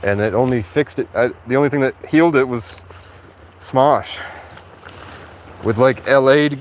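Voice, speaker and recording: A sleep-deprived man livestreaming outdoors in a rural area, rambling in a halting way with many restarts. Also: medium pitch, slight background noise. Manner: halting, restarts often, heavy use of "like". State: sleep-deprived after hours of talking. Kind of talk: rambling casual monologue.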